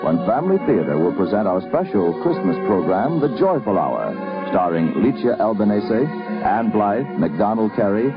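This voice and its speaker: Male network announcer, 1950s radio style. Formal and polished, animated intonation, muffled vintage sound over loud music. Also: lowish voice, normal speed. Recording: dull 1950s radio recording.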